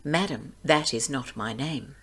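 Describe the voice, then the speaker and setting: A woman's voice: very deep voice, unhurried, a bit bright, husky, full character voice. A woman voicing a wily little dwarf man from a fairy tale, with an emphatic, sing-song delivery that draws out words. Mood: stern. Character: wily little dwarf man, bargaining, singing, quick to rage.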